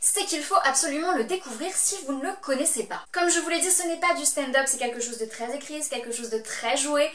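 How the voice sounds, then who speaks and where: A Belgian French woman hosts a chatty review vlog, teasing and playful as she talks straight to her viewers. Her voice sits high, and the small room around her adds a clear echo. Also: a little fast, few pauses, a bit bright. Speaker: vlog host reviewing shows.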